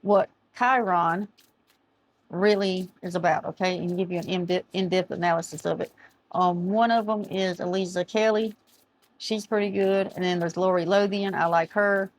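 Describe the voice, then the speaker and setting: A middle-aged woman, chatting through a tarot reading and reading aloud from a card book, her voice going hoarse as she often loses her words. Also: fairly low pitch, fairly even intonation, moderate pace, slight background noise. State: losing her voice. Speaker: tarot reader. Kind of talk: casual tarot reading to camera. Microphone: camera mic, speaking to camera.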